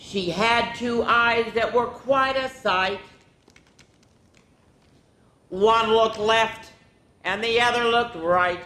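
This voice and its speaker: A woman's voice: slow, long pauses, a bit bright. A woman hosting a children's story time, reading picture books in a sing-song way with chatty, exclamatory asides. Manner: chatty, exclamatory, drawing out rhymed words. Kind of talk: animated picture-book read-aloud with sing-song rhymes and casual asides. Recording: echoey room with background rumble noise.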